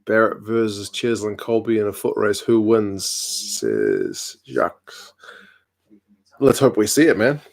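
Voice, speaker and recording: A New man in his mid-thirties chats easily on a home live stream, his voice sitting a little low. He answers viewer comments in a laid-back, wry way, with a conversational rhythm. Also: unhurried, vocal fry. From New Zealand. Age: 30s. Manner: relaxed, chatty, dry humour. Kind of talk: casual chat reading viewer comments.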